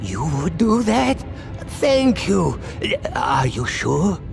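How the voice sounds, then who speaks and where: A man playing a kindly, anxious elderly father in an animated game, speaking gently and fretfully with an aged, quavering voice. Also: high-pitched, extremely slow, gravelly, over music, full character voice. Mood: grateful, hopeful. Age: older.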